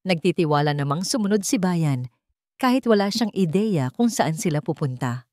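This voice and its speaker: A woman's voice: lowish voice, a little mellow, no room sound, clean studio sound. A woman reading a scripted romance story as a dramatic narrator.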